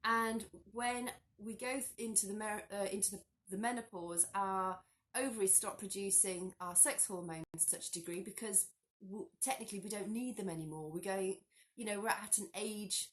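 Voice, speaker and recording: A woman, a little hoarse from a cold, talking casually to a phone camera in her living room, chatty and animated, often restarting her sentences. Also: medium pitch, normal speed. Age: 40s. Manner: chatty, animated hand gestures, frequent restarts. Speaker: health vlogger. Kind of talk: casual explanatory talk to camera. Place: living room at home, indoors.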